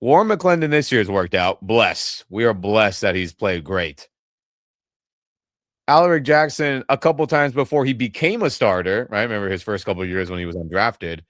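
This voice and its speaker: A man's voice: lowish voice, moderate pace, no room sound, clean studio sound. A man co-hosting a sports podcast with a head cold and a nasal voice, venting about his team's loss. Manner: irritated, venting, animated. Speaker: podcast co-host. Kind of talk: casual sports reaction chat. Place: home in Los Angeles. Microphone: webcam setup.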